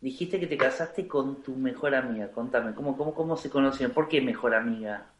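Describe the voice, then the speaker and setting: Eager host, echoey sound. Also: male voice, mid-range voice. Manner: eager, quick to laugh, encouraging interjections. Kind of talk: casual interview chat. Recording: echoey room.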